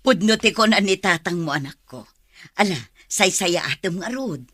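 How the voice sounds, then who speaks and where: A woman's voice: fairly low pitch, lively intonation, normal speed, a bit bright, no room sound, studio quality. An actress playing a tender, supportive middle-aged mother in a radio drama, with a northern Philippine accent. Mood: tender, pleased.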